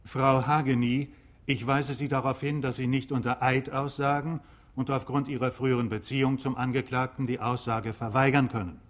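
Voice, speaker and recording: A man acts a courtroom judge in a radio drama. His voice sits at an average pitch. He instructs in a formal, exact and impartial manner. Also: natural voice. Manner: official, precise. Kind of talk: German radio drama acting, procedural instruction.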